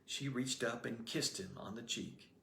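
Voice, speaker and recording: A man reading his own novel aloud as storybook narrator, expressive and lively. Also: medium pitch, a little slow, gravelly, slight background noise. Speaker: author reading his book. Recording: roomy echoey room.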